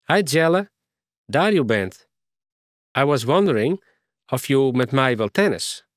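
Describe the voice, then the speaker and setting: A man's voice: medium pitch, big pitch swings, slow, dry recording, clean studio sound, voice pushed up. A man voicing a friendly young Ukrainian woman in a storybook reading, speaking with a Ukrainian accent and searching hesitantly for words. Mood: eager, halting.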